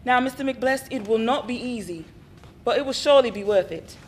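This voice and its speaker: A patient therapist who questions calmly, as a woman plays her, with a high voice. Faint ambience hums behind her. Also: slight background noise, lightly acted. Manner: calm, measured questioning. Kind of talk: stage play acting.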